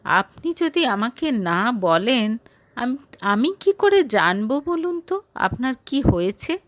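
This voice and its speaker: A woman voicing a gentle, concerned young female office employee who speaks respectfully and soothingly in an audio story. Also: fairly high pitch, big pitch swings, slow, no echo.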